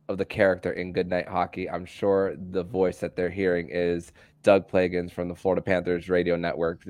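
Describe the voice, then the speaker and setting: A man hosting a casual sports podcast, speaking haltingly and often repeating words as he restarts phrases. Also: low voice, darkish tone, no room sound. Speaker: podcast host interviewing a guest.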